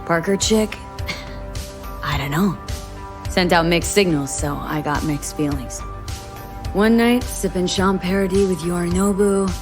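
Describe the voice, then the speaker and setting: An actress playing a wry, tough, guarded young mercenary in a video game, with a dry, understated manner. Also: female voice, lowish voice, takes it slowly, long pauses, over music. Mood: guarded, businesslike. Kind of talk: dramatic video game acting.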